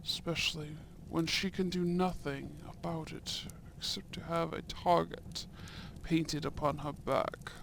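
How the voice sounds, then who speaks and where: A man playing a young, courteous and shrewd Edwardian viscount in a romantic audio roleplay, with formal, deliberate phrasing and soft murmurs. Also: a little high, fairly even intonation, gravelly, slight background noise. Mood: grave, confiding.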